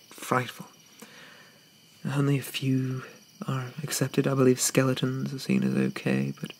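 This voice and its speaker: A man playing a shy, apologetic young zombie in an ASMR roleplay, with hesitant, stammering, old-fashioned politeness and a soft whisper. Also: medium pitch, a little hiss or hum, rough recording. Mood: uneasy, musing. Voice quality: soft, breathy whisper with creaky edges.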